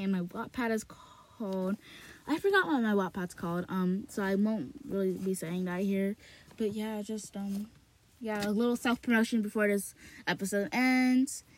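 Woman podcaster in a small closet, reads slowly, then chats loosely, repeating words and filling pauses. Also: mid-range voice, a little hiss or hum. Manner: rambling, repeats words, frequent fillers and restarts. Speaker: podcast host reading a novel aloud. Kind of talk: slow read-aloud, then rambling casual chat.

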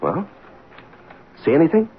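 Middle-aged detective husband, old radio drama; rough husky tone, affectionate but exasperated, band-limited sound. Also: male voice, a little high, huge pitch swings, a little slow, muffled recording, heavily acted. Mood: grudging.

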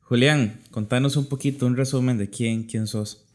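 A man hosting an interview, introducing his guest in a casual, halting way with repeated words. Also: mid-range voice, fairly even intonation. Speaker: interviewer, host.

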